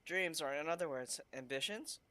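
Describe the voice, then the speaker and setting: Excitable man reacting to a game. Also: a little high, moderate pace. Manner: chatty, excitable. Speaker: gameplay commentator.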